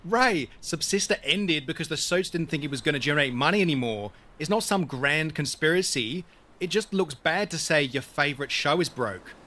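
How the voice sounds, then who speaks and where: Evasive colleague, man, slightly high pitch, casual, placating, dismissive, world-weary, naturalistic audio drama. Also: expressive, moderate pace, bright, forceful tone.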